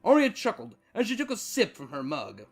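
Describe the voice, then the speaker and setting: Male storybook narrator, high-pitched, expressive rise and fall, scripted read. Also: brisk, soft background music.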